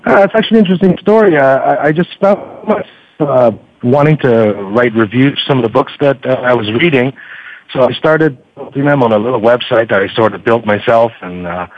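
Male podcast guest, mid-pitched, conversational and a bit halting, on dull radio-style sound. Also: no room sound. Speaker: podcast guest, book reviewer. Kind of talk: casual interview chat.

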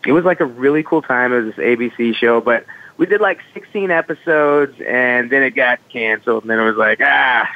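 A comedian and actor guesting on a radio show by phone, animated and self-mocking, laughing often. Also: male voice, mid-range voice, brisk. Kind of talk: casual interview chat. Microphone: phone call. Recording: tinny dry phone line.

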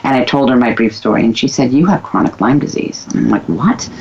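A woman's voice: extremely low pitch, expressive, a little fast, vocal fry, noisy background. A woman guest, a former physical therapist, talking candidly and emphatically in casual conversation. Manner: candid, emphatic, self-described loudmouth. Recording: dull lo-fi recording.

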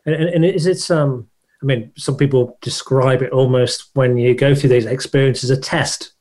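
A man's voice: mid-range voice, steady tone, moderate pace, darkish tone. Casting note: male host, rambling, hesitant interviewer, many restarts. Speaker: podcast host. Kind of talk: interview questions.

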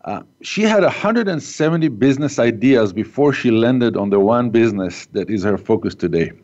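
A man hosting a business interview podcast, speaking as an in a halting way, with many fillers. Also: mid-range voice, expressive, darkish tone. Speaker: podcast host. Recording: muffled lo-fi audio.

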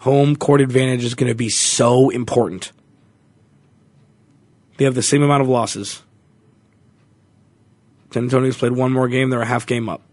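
A man's voice: medium pitch, fairly even intonation, normal speed, long pauses, dry recording. A man hosting a sports talk radio show, chatting casually with opinions and small self-corrections.

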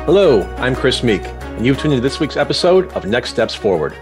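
A man hosting an interview podcast at a middle pitch. He reads a formal introduction in a steady, measured way and then puts questions to his guest. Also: faint music behind. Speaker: podcast host.

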